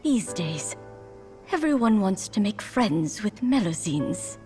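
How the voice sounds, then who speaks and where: A woman voicing a grateful old woman in a game cutscene, who speaks in a gentle, measured way. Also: mid-range voice, sing-song. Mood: heartfelt. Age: older. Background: game music.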